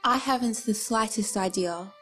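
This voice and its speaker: A woman's voice: fairly high pitch, moderate pace, fairly bright tone, faint music behind, lightly acted. An actress playing a vain, outspoken country woman of the 1910s in a radio play, prim and opinionated. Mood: flippant. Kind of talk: nostalgic radio play acting.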